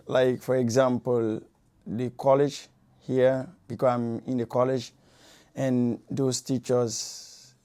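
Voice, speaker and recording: A man from Guinea speaking, in an earnest and simple way. His voice is smooth and resonant, with a fairly flat, even delivery and a mellow tone. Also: medium pitch. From Guinea, West Africa. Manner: earnest, simple phrasing, fillers. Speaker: local resident, fashion designer. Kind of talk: personal account.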